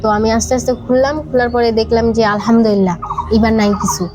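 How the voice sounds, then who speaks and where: A young adult woman with a Bangladeshi Bengali accent, telling a personal story conversationally in halting, repetitive short bursts. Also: on the high side, steady tone. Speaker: podcast guest. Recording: background music under the voice.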